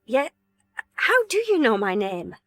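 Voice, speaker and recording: An actress playing a forthright, wry young Englishwoman in a radio comedy, brisk, teasing and direct. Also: a little high, big pitch swings. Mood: polite, amused. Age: young adult. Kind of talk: radio comedy drama acting.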